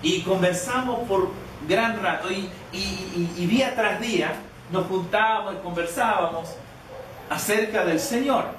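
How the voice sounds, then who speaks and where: A man with a fairly high voice preaches a sermon, telling a personal story in a halting, conversational way. He restarts and repeats words often. The recording is distant and echoey, with clear background noise. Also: a bit bright. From Canada. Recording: echoey, distant, noisy room.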